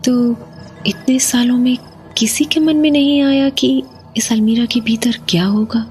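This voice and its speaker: A woman playing an uneasy, suspicious young wife in a horror audio drama, hesitant and hushed, lingering on words in a breathy voice. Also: fairly high pitch, fairly even intonation, measured pace, soft background music, close to an everyday voice. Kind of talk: Hindi horror audio drama, acted dialogue.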